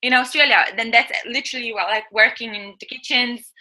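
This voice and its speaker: A woman's voice: a little high, a little fast, sharp tone, low-quality recording. A woman in her thirties from eastern Europe, not a native English speaker, telling her story in an interview with many hesitations. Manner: hesitant, many fillers and restarts, laughs often. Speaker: guest. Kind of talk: first-person interview account.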